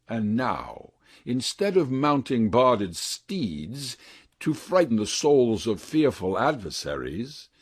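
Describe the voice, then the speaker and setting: A man playing a bitter, deformed, plotting villain in a deliberate, declamatory Shakespearean monologue. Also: medium pitch, a little mellow, slightly rough, no echo, clean studio sound, exaggerated voice. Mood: grandly sardonic.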